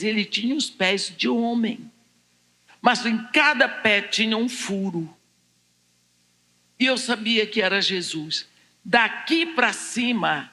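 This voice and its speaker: High-voiced Brazilian woman preacher, echoing hall. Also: pushed and bright. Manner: deliberate, dramatic storytelling in short phrases. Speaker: preacher giving her testimony. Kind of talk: personal testimony told to a congregation. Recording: echoing large hall, long reverb.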